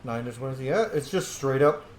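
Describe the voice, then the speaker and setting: A man's voice: medium pitch, expressive, moderate pace, reverberant. A man giving casual, irreverent gameplay commentary, muttering asides and swearing lightly. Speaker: video game streamer.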